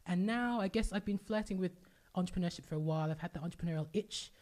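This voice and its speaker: Woman host, deep voice, brisk pace, enthusiastic and chatty. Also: darkish tone. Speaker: podcast host. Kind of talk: casual podcast conversation.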